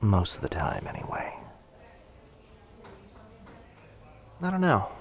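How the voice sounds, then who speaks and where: A man playing a shy, sweet, anxious young stranger in a boyfriend ASMR roleplay, speaking hesitantly, softly and earnestly. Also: fairly low pitch, wildly swooping pitch, fairly quick. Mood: thoughtful, hesitant. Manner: hesitant, soft-spoken, earnest, self-doubting.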